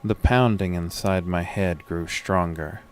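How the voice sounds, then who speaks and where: An actor playing a sick, groggy man who tells his own horror story in a weary, measured way. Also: deep voice, clear, resonant tone. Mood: grim narration. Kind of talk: first-person scripted horror narration with acted dialogue.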